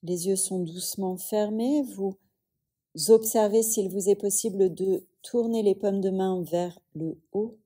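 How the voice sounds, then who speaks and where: Very slow, soft dark-voiced woman guiding meditation. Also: mid-range voice, breathy edge. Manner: soothing, unhurried, with long pauses. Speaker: yoga nidra teacher. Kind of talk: guided relaxation meditation.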